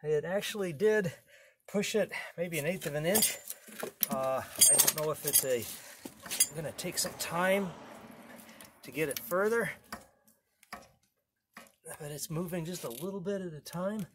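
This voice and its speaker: A man's voice: fairly high pitch, measured pace, pauses often, background noise. A man narrating his own car repair in a casual how-to style, plain-spoken and wry, with many fillers and restarts. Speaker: home mechanic showing a car repair.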